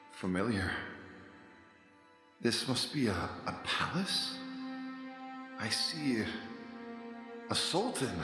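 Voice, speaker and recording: An actor playing a bewildered, grieving lover lost in a dream, halting and wondering, in echoey radio drama with music. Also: male voice, on the low side. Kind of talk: radio play acting. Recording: long echoey reverb, music behind.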